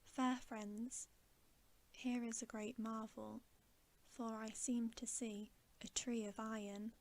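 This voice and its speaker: A woman voicing a gracious, cautious young queen in a storybook, softly and with courtly, measured phrasing. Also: on the high side, flat delivery. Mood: marvelling. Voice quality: soft, hushed.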